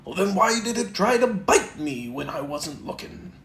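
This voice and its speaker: A reader putting on a loud, bouncy tiger. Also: mid-range voice, animated, moderate pace, voice pushed up, close to an everyday voice. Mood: cheerful. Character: bouncy, boastful young male tiger. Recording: roomy echo, faint background noise.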